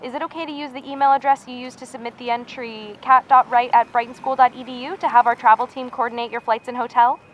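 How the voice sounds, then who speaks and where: A woman's voice: fairly high pitch, fairly even intonation, fast talker, few pauses, fairly bright tone, lightly acted. A woman playing a friendly, effusive assistant, upbeat and polite on a phone call in an audio drama. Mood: excited, friendly. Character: friendly, gushing female assistant to a TV creator. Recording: dull phone-call sound, faint ambient noise.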